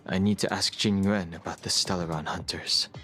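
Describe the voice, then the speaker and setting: A man playing a serious, guarded young man haunted by his past in a video game, speaking in a measured, reserved way. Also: sits low, steady tone, sharp tone. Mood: pensive, guarded.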